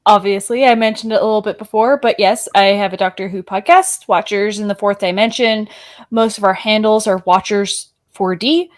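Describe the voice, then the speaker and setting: Woman guest on a chat podcast, mid-pitched, relaxed and easygoing. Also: normal speed.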